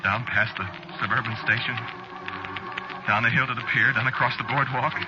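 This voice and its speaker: An American actor playing a haunted, guilt-ridden man, telling his story in an intimate, halting, brooding way as in 1940s radio drama. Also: mid-range voice, steady tone, natural voice. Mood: haunted, resigned. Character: haunted, guilt-ridden man confessing a murder, sliding into terror. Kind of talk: 1940s radio drama first-person narration. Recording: muffled vintage radio broadcast recording. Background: music.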